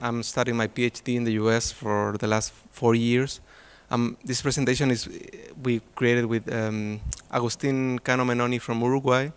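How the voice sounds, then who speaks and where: A man's voice: mid-range voice, flat delivery, normal speed, few pauses, clear, resonant tone. Man presenting an academic talk. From Chile. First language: Spanish. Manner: hesitant, many fillers and restarts. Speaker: conference presenter.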